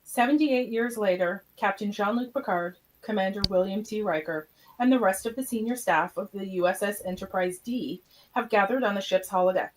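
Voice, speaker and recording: A woman's voice: mid-range voice, normal speed, slight background noise, rough recording. A woman reading scripted stage directions as the narrator of an amateur radio play.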